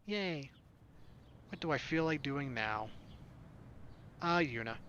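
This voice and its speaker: A man's voice: a little high, animated, slow pace, fairly bright tone, dull audio, a little hiss or hum. Man reading a visual novel, joking asides. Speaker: let's play streamer. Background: game music.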